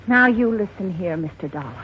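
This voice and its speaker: A woman's voice: mid-range voice, lively intonation, fairly quick, breathy edge. An American woman playing a proud, defensive woman under questioning in a 1950s radio drama, emphatic and haughty. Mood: indignant. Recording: muffled, noisy 1950s radio recording.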